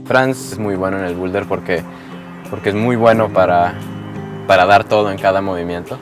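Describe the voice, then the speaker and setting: A man talking in an interview about a fellow climber, soft-spoken. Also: low-pitched, steady tone, normal speed, over music.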